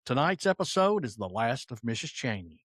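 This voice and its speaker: A man's voice: medium pitch, sing-song, moderate pace, fairly bright tone, no room sound, studio quality. A man reading a scripted introduction as host of a classic radio drama programme. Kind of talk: scripted narration.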